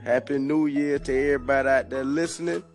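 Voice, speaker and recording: A man in his fifties co-hosting a podcast, hoarse from a cold, laid-back and quick to laugh. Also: medium pitch, steady tone, faint music behind. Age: 50s. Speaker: podcast co-host. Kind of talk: casual podcast banter.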